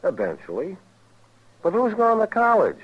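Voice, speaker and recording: An actor playing a distracted, mildly exasperated young American husband in an old radio advert sketch. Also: male voice, sits high, wildly swooping pitch, moderate pace, slightly rough, exaggerated voice. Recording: dull vintage radio recording.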